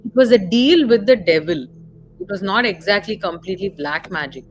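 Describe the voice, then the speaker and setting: A woman's voice: medium pitch, animated, fairly quick. A woman with an Indian accent in a casual discussion, speaking as a panelist who clarifies and stresses her points. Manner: emphatic, corrective, clarifying. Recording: noisy live stream audio.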